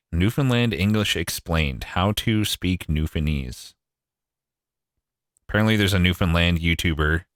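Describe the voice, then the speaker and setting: A man's voice: low voice, fairly bright tone, no room sound, clean studio sound. A young Canadian man with a Maritime accent, thinking aloud and swearing casually as he streams a game. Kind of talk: casual gameplay livestream chat.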